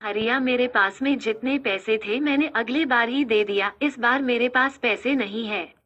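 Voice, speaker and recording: A woman acts a poor, caring mother in a village moral tale. Her voice is slightly high and plainly motherly, with a resigned note, recorded a little dull. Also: normal speed, fairly bright tone, natural voice. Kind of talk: Hindi moral-story cartoon dubbing.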